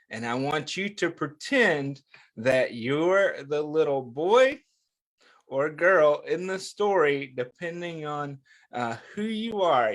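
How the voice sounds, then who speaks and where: Slow-paced man hosting children's story time. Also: a little high, a bit bright. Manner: encouraging, addresses listeners with questions and pauses for answers. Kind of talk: interactive read-aloud for young children.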